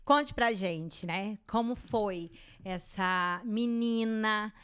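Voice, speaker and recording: A woman's voice: mid-range voice, very slow, a bit bright, smooth. A woman in her forties hosting a podcast in an upbeat, exclamatory way. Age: 40s. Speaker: podcast host. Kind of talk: casual podcast chat.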